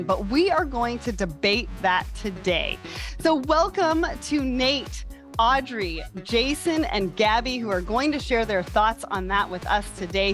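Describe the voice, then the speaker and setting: A woman hosting a panel discussion over a video call, upbeat and enthusiastic. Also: fairly high pitch. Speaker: podcast host.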